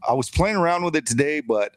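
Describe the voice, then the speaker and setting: A man's voice: on the high side, lively intonation, dry recording. Easygoing man doing dry-sounding plugs. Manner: easygoing, says bro and man, quick jokes. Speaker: podcast co-host. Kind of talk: casual podcast chat, sponsor shout-outs.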